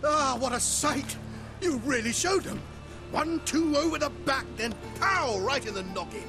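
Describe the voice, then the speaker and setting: A man voicing a wry, excitable street poet in a video game, flowery and performative. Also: very high voice, a little slow, over music, heavily acted. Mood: excited delight. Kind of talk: video game character acting.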